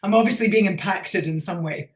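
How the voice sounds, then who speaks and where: A woman in her forties with a Scottish accent touched by Australia, talking warmly over a video call from her sitting room and laughing easily. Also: medium pitch, fairly quick. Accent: Scottish, with some Australian influence. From Scotland. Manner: warm, laughs easily, talkative. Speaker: nutrition scientist guest. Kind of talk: casual interview chat over video call. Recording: roomy video call audio.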